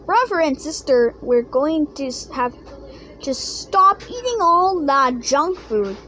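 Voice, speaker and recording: A woman reading a children's picture book aloud, haltingly, stumbling over and misreading some words. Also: high voice, big pitch swings, sharp tone, slight background noise. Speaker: storybook reader.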